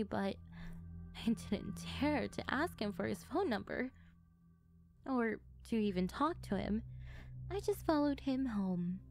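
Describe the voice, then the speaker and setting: Doting, creepy-calm middle-aged mom played by a woman, high sweet voice, slow ASMR roleplay delivery over music. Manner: sugary, unhurried, calm about disturbing things. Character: sweet doting middle-aged yandere mother, calmly menacing kidnapper.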